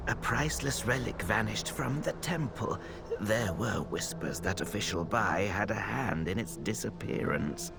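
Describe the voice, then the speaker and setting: A shrewd former temple dweller in a video game. His gravelly voice tells tales slowly and insinuatingly, with lively pitch movement. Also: full character voice. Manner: storytelling, insinuating. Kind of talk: video game cutscene acting.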